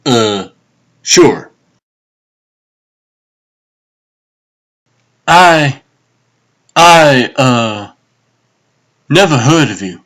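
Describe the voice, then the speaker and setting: A man's voice: mid-range voice, lively intonation, very slow, a bit bright. A man voicing a wary, wry former agent and weapons expert in a comic dub, with a dry, hesitant delivery.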